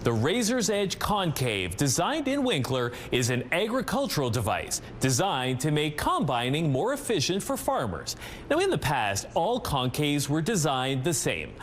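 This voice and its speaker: Man, Canadian accent, news anchor, scripted reading, slightly high pitch, lively inflection, faint background noise. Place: TV news studio.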